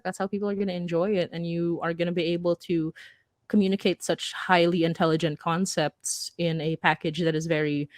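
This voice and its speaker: A woman's voice: fairly low pitch, no room sound, studio quality. A woman talking casually on a panel in an emphatic, giggly, stop-start way. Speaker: panelist, audio drama and comics creator. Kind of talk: casual, animated panel talk.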